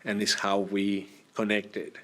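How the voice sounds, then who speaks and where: A man from Argentina, a priest, telling his story in an interview, with many pauses and fillers. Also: fairly low pitch, monotone, sharp tone, a little hiss or hum. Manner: hesitant, many fillers and restarts. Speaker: priest, guest.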